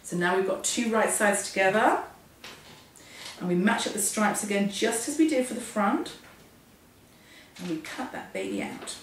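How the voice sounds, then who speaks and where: A woman with a hoarse voice, getting over a cold, teaching a hands-on sewing lesson in a chatty, self-deprecating, step-by-step way. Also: medium pitch, expressive, normal speed, distant mic. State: hoarse, losing her voice from a cold. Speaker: sewing instructor. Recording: echoey room, off-mic, faint noise.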